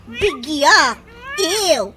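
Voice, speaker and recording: A scolding Ilocano mother in a comedy radio drama. The voice is piercingly high and swings widely as she mocks. Clear noise sits in the recording. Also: sing-song, very slow, exaggerated voice. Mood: mocking, disgusted. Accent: Ilocano, from the Philippines. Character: scolding, mocking mother with a sharp tongue.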